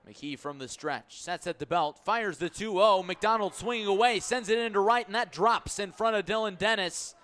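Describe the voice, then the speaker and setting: A male baseball play-by-play announcer with a bright, forceful voice pitched slightly high. He calls the game live in clipped phrases and leans on key words. The delivery is that of radio sports commentary. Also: moderate pace, few pauses.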